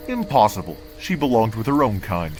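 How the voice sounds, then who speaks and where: A man's voice: fairly low pitch, big pitch swings, moderate pace, a little mellow, soft background music. A man playing a cold, disdainful council envoy, speaking formally and condescendingly in a comic dub. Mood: haughty. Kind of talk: amateur comic dub acting.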